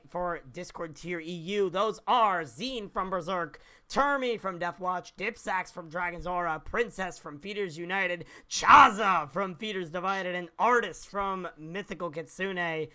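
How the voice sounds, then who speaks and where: A man hosts a casual esports podcast with a hoarse voice that is starting to give out. His voice sits a little high and bright. He reads lists of names and trades light jokes with his co-hosts. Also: unhurried, hardly any pauses. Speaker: podcast host. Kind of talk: casual esports awards commentary.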